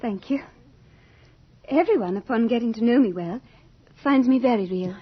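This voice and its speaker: Eerie, shy young girl who is Death: very breathy, highish voice, muffled vintage radio sound. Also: moderate pace. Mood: gentle, eerie. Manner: sweet, polite, apologetic. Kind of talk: old-time radio drama acting. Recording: dull old radio recording, faint music.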